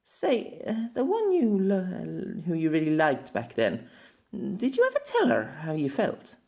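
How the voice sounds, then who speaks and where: A man's voice: high voice, huge pitch swings, a little slow, creaky, dark tone, pitched above the performer's own voice, exaggerated voice. A man voicing a playful, teasing young woman adventurer who coos and pouts, in an audiobook story. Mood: teasing, curious. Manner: cooing, pouting, cheeky.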